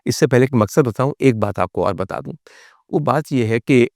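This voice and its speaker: Man, Pakistani accent, mid-pitched voice, theatrical formal storyteller, vlog host, rhetorical delivery, dry recording. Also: normal speed, a little mellow, dry recording. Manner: theatrical, rhetorical questions, formal addresses to the audience; mixes English phrases into speech. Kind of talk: storytelling monologue on film history.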